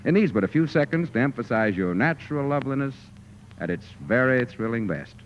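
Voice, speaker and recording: A man's voice: medium pitch, sing-song, brisk. An American man announcing on 1940s radio, reading an ad in a polished, salesmanlike style. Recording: dull, faintly noisy 1940s radio recording.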